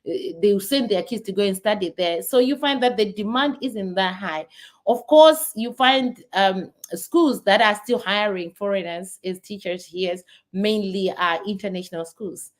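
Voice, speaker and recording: A woman's voice: medium pitch, normal speed. A woman in her thirties from Zimbabwe with a Zimbabwean accent, talking earnestly to her viewers in a casual vlog, often restarting and repeating words. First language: not English. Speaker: vlogger. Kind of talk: casual talk to camera.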